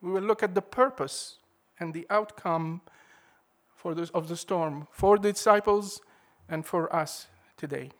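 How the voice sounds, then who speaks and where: A man preaching a sermon in a measured, emphatic way, leaning hard on key words. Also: sits high, a bit bright.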